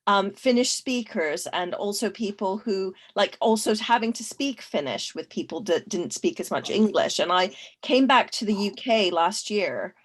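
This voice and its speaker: A woman's voice: medium pitch, animated, normal speed, hardly any pauses. A woman from Canada speaking as a panelist in a casual discussion, thoughtful, with frequent fillers and restarts.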